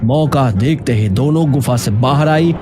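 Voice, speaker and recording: A man's voice: medium pitch, a little slow, very noisy. A man narrating a children's story in a dramatic, scripted style. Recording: loud music under the voice.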